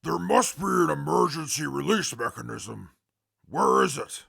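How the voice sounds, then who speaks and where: Harsh, growling, stern wasteland brute. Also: male voice, on the high side, animated, creaky, fairly bright tone, breathy edge, exaggerated voice. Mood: concerned. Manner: slow, formal, commanding. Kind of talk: post-apocalyptic radio drama.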